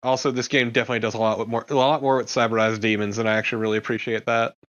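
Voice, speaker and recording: A man's voice: medium pitch, level tone, very fast, fairly bright tone, muffled recording. A man co-commentating on a video game in a blunt, opinionated, casual way. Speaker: let's play co-commentator.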